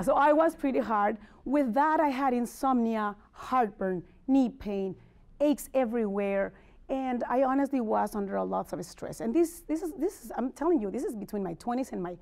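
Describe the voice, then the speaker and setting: A woman's voice: fairly high pitch, darkish tone. A middle-aged woman from Mexico City with a Mexican accent, a native Spanish speaker, gives a personal wellness presentation as a motivational speaker, talking candidly and conversationally and checking in with her listeners. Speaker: motivational speaker and life coach.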